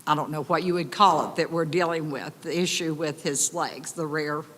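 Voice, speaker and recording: Loud, bright-voiced woman in an echoey hall. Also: deep voice, fairly even intonation, moderate pace. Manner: loud, big voice; urgent, pleading, indignant. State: upset. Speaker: resident addressing county commissioners. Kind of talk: public comment at a meeting. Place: county commission meeting room. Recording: echoey hall, distant reverb.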